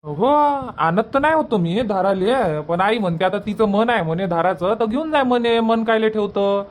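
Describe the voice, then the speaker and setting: Cartoon family relative played by a woman, medium pitch, Vidarbha Marathi accent, calm and conversational. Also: normal speed, close to an everyday voice. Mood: casual. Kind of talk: cartoon comedy dubbing.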